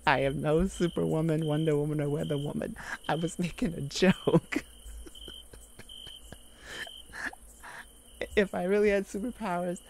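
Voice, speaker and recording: A woman's voice: low-pitched, fairly even intonation, moderate pace, gravelly. A woman playing a chill, caring, silly girlfriend in an ASMR roleplay, speaking in a soothing, playful, giggly way to comfort a timid partner. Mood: soft, bemused. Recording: loud thunderstorm and rain noise behind. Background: thunderstorm ambience.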